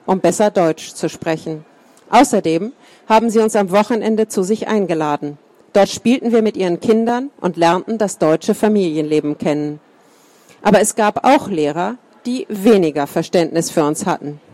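Calm woman reading aloud, dry. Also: mid-range voice, no room sound. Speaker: reader. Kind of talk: reading a first-person account aloud.

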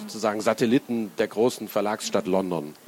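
A man's voice: lowish voice, fairly quick. A man hosting an interview in a conversational way with hesitant fillers. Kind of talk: interview questions.

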